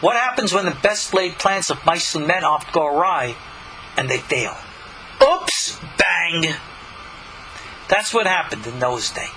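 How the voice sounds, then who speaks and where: A man's voice: a little high, lively intonation, a little slow, pushed and bright, a little hiss or hum, rough recording. A man, a rabbi, teaching a text in a conversational lecture style, full of rhetorical questions and asides.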